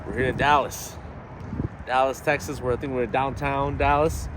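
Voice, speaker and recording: A man's voice: medium pitch, fairly even intonation, normal speed. Casual vlogging man, noisy recording. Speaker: vlog host. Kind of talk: casual vlog chat to camera and guests. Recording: noisy outdoor recording with vehicle sounds.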